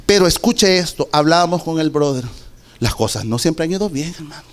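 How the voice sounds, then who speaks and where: A middle-aged man with a Salvadoran accent preaching a testimony sermon in a church, slow and emphatic, with sudden shouted peaks. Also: a little high, animated. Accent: Salvadoran Spanish.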